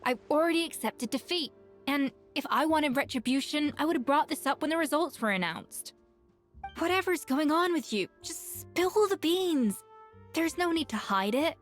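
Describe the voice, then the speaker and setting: A woman voicing a confident female head chef with dry, withering wit and a calm, commanding manner. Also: high-pitched, normal speed. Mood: composed. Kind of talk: game voice acting. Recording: game audio with soft music.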